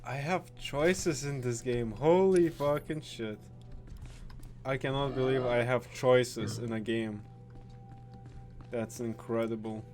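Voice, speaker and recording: A man doing casual gameplay commentary, halting and repeating words, with frequent swearing. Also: mid-range voice, darkish tone, soft background music. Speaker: gaming video host.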